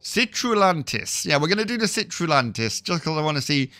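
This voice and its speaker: A man's voice: a little high, sing-song, fairly quick, pushed and bright, no echo. A man hosting a casual gameplay video, chatty and self-deprecating, thinking aloud, still getting over a cough. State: recovering from a cough. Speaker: gaming video host.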